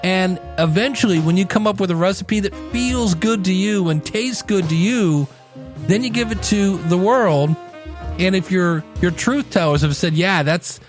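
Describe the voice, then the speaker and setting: A man hosting a podcast in a conversational solo style, speaking emphatically and slowing down for dramatic effect. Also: sits high. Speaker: podcast host. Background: background music.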